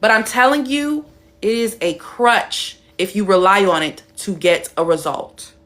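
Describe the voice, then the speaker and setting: A young woman talks straight to her viewers on a live stream, blunt and emphatic, swearing freely. She plays with her voice, dropping it low and deep or making it high and animated. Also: a little slow. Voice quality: playful, shifts her voice between high and deep. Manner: blunt, emphatic and swearing, reading out chat comments.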